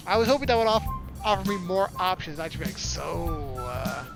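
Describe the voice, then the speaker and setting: A woman's voice: on the low side, lively intonation, fairly quick, rough recording. A woman reading a visual novel aloud as a playthrough host, with flippant, casual asides. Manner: flippant, jokey. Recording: loud game music behind the voice.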